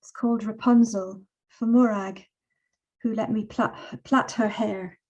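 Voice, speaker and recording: A mellow, relaxed woman in her sixties presents her poems. Her delivery is measured and expressive, pitched in a middle register. It has the feel of a poetry reading with spoken introductions. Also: rough recording. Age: 60s. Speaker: poet.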